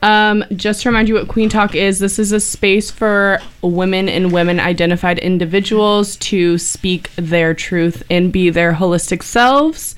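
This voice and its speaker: A woman hosting a casual panel talk, chatty and relaxed, with frequent filler words. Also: medium pitch, a little slow, hardly any pauses, smooth. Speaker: talk show host.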